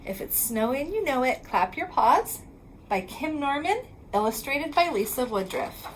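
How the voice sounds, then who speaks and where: A woman's voice: high voice, very animated, a little hiss or hum, poor audio. A woman reading a rhyming picture book aloud to children in a sing-song way, drawing out the ends of lines. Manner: sing-song rhyming delivery, drawn-out line endings.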